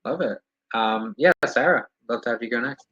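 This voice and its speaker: A man's voice: medium pitch, normal speed, sharp tone, no echo. A man moderating an online panel discussion, talking casually with many ums and restarts over a video call. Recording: dull lo-fi video call audio.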